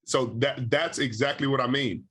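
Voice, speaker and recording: A man in his thirties hosting a podcast interview, talking in a relaxed, slangy way with lots of "you know.". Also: medium pitch, normal speed. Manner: laid-back, slangy, frequent "you know". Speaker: podcast host. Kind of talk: casual interview chat.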